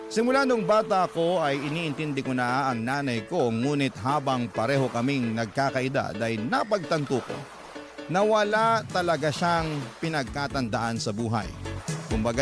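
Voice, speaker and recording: A man's voice: mid-range voice, lively intonation, moderate pace, music in the background. A man hosting a radio story show, reading a letter as dramatic first-person narration.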